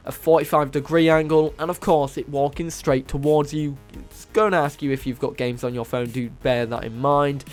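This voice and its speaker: A man with a cold, sounding hoarse and nasal, enthusiastically narrating a game mod showcase as host of his channel. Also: mid-range voice, a little mellow. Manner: enthusiastic, casual, repeats praise. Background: faint music.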